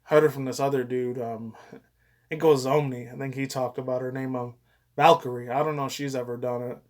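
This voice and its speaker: A man's voice: mid-range voice, steady tone, brisk. A young man giving casual, off-the-cuff reaction commentary in a rambling way full of crude slang and swearing.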